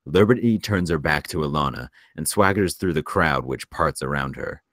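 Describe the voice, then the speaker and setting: A man's voice: a bass voice, animated, moderate pace, a bit bright, no room sound, studio quality. A man reading screenplay stage directions as narrator at a table read.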